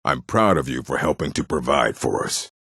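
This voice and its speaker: An actor playing a gruff, proud orc in a fantasy video game, blunt and stern, with a deep, husky, gravelly voice. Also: male voice, lively intonation, normal speed, a bit bright, dry recording, studio quality, full character voice. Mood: plain, brooding. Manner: blunt, stern, terse, solemn. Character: gruff, proud, hostile male orc of a stronghold.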